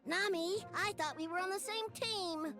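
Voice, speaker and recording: A tiny boyish sea creature from a video game, playful and cheeky. The voice is extremely high and piercingly bright. Faint music sits behind it. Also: normal speed.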